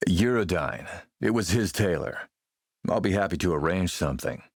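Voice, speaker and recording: A man playing a shrewd, menacing militia boss and smooth-talking dealmaker in a video game, gravelly, measured, self-assured and wry. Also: low-pitched, expressive, no room sound, clean studio sound, full character voice. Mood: businesslike. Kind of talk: video game character dialogue.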